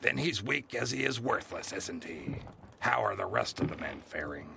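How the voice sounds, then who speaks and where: Gravelly, cruel ship captain. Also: male voice, on the low side, big pitch swings, normal speed, background noise, exaggerated voice. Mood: cold, measured. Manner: commanding, menacing, archaic sailor speech. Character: cruel, domineering ship captain, contemptuous and vengeful. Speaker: actor in audio drama. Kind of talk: nautical audio drama acting.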